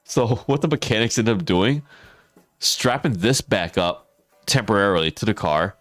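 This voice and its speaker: A hoarse man, chatting casually with viewers on a livestream and laughing often. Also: fairly low pitch, expressive, moderate pace, fairly bright tone, dry recording, faint music behind. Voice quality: raspy. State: hoarse, losing his voice. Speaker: streamer.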